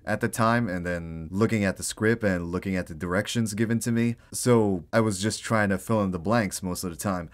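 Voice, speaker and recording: A man's voice: fairly low pitch, moderate pace, hardly any pauses. A man talking casually and informally about his voice acting work, in an easygoing, self-effacing way. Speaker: voice actor. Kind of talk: casual Q&A talk.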